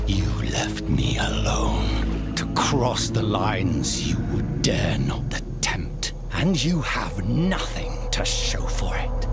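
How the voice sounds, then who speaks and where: An actor playing a bitter, proud renegade sorcerer in a fantasy game, breathy, slow and contemptuous. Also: male voice, fairly low pitch, exaggerated voice. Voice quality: breathy, hissing whisper. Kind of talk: cinematic fantasy game acting.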